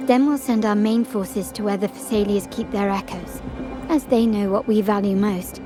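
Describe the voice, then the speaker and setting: A woman's voice: mid-range voice, steady tone, moderate pace, natural voice. A woman playing a poised, commanding young crime-family heiress in a video game, with an elegant, composed, coolly taunting delivery. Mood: calm, decisive. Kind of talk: video game character voice acting. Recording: music behind the voice.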